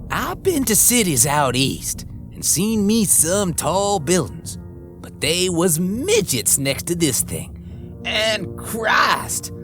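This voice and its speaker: A man narrating a horror western story in the first person, with folksy, rough frontier grammar, over background music. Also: medium pitch.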